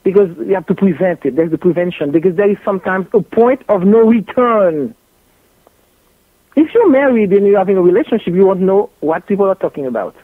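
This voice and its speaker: A woman's voice: medium pitch, brisk, no room sound. A woman from Haiti with a French accent, a gynecologist guest in a radio interview, speaking bluntly and jokingly and repeating herself for emphasis. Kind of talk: animated radio interview talk. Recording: tinny phone-like line.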